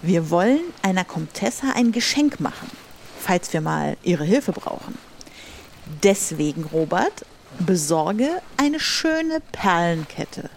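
A woman's voice: on the low side, sing-song, slow, vocal fry, a little hiss or hum, exaggerated voice. A woman playing a commanding, knowing pirate captain in an improvised audio drama.

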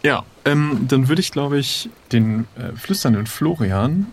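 A man's voice: mid-range voice, animated, measured pace, a little hiss or hum. A man playing an improvised adventure game, speaking casually, politely and with hesitation. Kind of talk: casual improvised role-play.

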